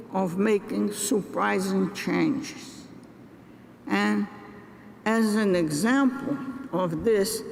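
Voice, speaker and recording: An older woman from Brazil giving a graduation address, speaking in a deliberate, halting way with pauses and restarts. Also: medium pitch, creaky. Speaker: commencement speaker. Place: large ceremony venue. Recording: reverberant hall with faint noise.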